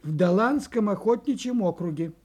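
An excitable, blustering butcher in a storybook audio play. His voice is mellow and husky, and he speaks in a fussy, emphatic, courteous townsman's way. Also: high-pitched, normal speed. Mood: astonished. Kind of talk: children's audio play acting.

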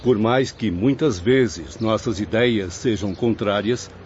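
An actor in a radio drama playing a learned, loyal professor's spirit who speaks formally. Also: male voice, lowish voice, a little mellow, a little hiss or hum. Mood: earnest. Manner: formal, measured wording. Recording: muffled lo-fi, faint background ambience.

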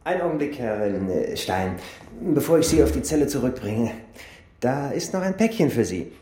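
An actor playing an officious, easily flustered prison guard in a radio drama, bureaucratic and stammering. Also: male voice, mid-range voice, sing-song, normal speed, dark tone, slight background noise, natural voice. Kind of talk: German radio drama dialogue. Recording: echoey room.

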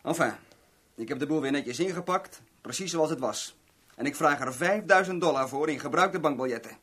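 Radio play casting: chummy kidnapper, cocky and scheming, lighter-pitched husky voice, unhurried, boastful storyteller. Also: male voice, a bit bright. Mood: self-satisfied. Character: small-time crook Tony, cocky, chummy, scheming kidnapper. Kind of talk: 1970s Dutch radio drama acting.

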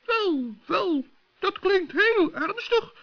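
A man's voice: extremely high pitch, expressive, extremely slow, vocal fry, a bit bright, pitched above the performer's own voice, full character voice. A man voicing a small, cheerful, inquisitive forest gnome in a children's radio play, chirpy and polite. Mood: sceptical. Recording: dull old radio recording.

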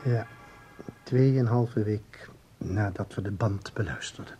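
A man playing a cool, exacting investigator in a radio drama, speaking in a measured, precise way with a husky tone. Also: on the low side, dark tone, a little hiss or hum. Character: probing, methodical male investigator, cool and exacting. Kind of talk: Dutch radio drama acting.

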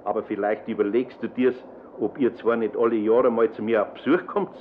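A man's voice: on the low side, steady tone, brisk, slight background noise, natural voice. A male actor playing an older, fatherly master carpenter with a Bavarian accent in a radio play, plain-spoken and homely. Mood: good-natured. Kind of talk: German radio play acting. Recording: narrow, tinny old radio recording.

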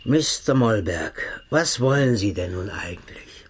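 An actor playing a cold, smug male secret-service agent in a radio play. He speaks calmly and mockingly, sometimes dropping to a hushed, sinister tone. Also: on the low side, unhurried, husky. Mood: coldly mocking. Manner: calm, mocking, sinister, drops to hushed tones.

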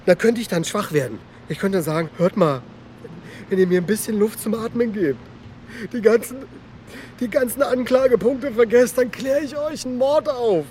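A man's voice: sits high, moderate pace, darkish tone, a little hiss or hum, natural voice. An actor playing a twitchy, wheedling young small-time crook in a crime radio play, rambling in slang and repeating himself. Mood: menacing, wheedling. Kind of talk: naturalistic radio crime drama acting.

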